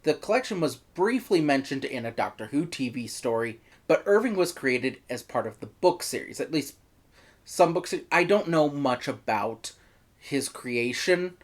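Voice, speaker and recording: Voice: mid-range voice, lively intonation, measured pace. A reviewer narrating a scripted video review in an opinionated way, with wry, exasperated asides. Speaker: reviewer, host.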